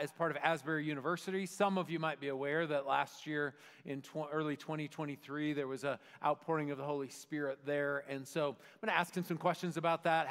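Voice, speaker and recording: A man who is lead pastor, welcoming the congregation and interviewing a guest in a casual, halting way. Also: a little high, a bit bright. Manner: casual, many fillers and restarts. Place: church sanctuary. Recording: hall reverb.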